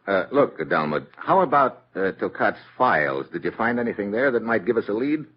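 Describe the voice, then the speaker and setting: An actor playing a tough, wisecracking American government agent in a wry, slangy old radio detective style. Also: male voice, lowish voice, sing-song, normal speed. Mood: businesslike. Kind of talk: 1950s radio detective acting with first-person narration. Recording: dull vintage radio recording, faint music.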